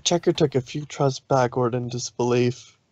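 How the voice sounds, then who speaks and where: A man reading fan fiction aloud as an expressive story narrator. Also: medium pitch, moderate pace, no room sound, muffled recording.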